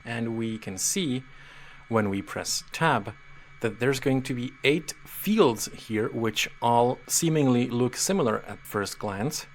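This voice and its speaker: Patient man explaining software. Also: medium pitch, lively intonation, slow, a little hiss or hum. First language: Czech. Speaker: software tutorial presenter. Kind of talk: screen-reader software walkthrough.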